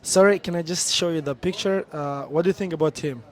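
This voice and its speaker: A young man with a Somali accent runs a street interview vlog outdoors in a city centre, recorded on a handheld camera. He talks very fast in a slightly raised voice, chatty and quick, jumping between two languages. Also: a little high.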